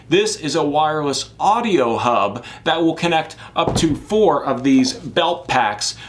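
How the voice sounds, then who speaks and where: A man's voice: mid-range voice, fairly bright tone. Chatty man reviewing gear to camera. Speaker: product reviewer, live sports production crew. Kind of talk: casual semi-scripted gear review to camera.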